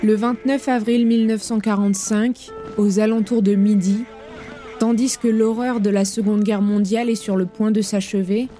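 A woman narrating an audio fiction in a sober, measured way. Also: mid-range voice, level tone, mellow, soft background music.

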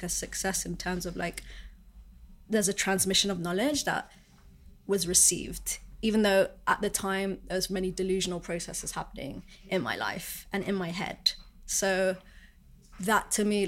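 A woman artist who was raised partly in London, speaking in a halting, searching way with many pauses and fillers. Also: on the low side. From born in Malaysia, raised in Sharjah, then London from six. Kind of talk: reflective interview talk.

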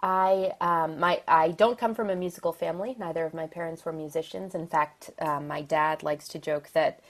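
A woman from the Philadelphia area with an American accent, a violin soloist talking thoughtfully and positively as a podcast guest, often pausing with ums and soft laughs. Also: deep voice, steady tone. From Philadelphia area, USA. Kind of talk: podcast interview.

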